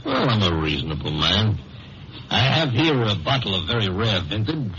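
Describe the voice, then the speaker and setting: Casting: huckstering saloon keeper, sharp animated voice, breezy patter, old-time radio crime comedy. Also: male voice, lowish voice, normal speed. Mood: jovial. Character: jokey, huckstering male dive-bar keeper. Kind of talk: 1950s radio comedy-drama acting. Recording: noisy muffled old radio broadcast.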